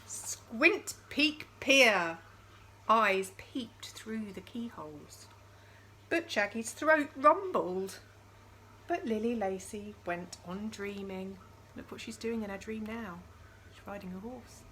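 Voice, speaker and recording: A woman reading a picture book aloud to young children in an expressive storytelling style, with chatty questions to the listeners. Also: mid-range voice, slow pace, slight background noise. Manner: addresses young listeners with questions and dramatised sound words.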